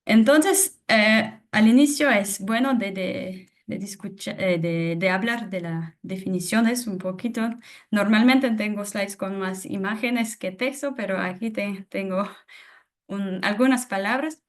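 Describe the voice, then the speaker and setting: A young woman presenting a webinar in a language that is not her first, halting with many fillers and restarts. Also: medium pitch. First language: not Spanish. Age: young adult. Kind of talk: webinar talk on soil.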